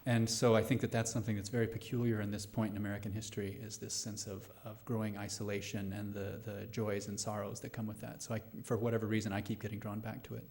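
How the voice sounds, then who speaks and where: Low-voiced man, thoughtful and halting. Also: little pitch movement, darkish tone. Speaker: playwright answering audience questions. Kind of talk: post-show question and answer talk. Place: live radio play venue before a studio audience.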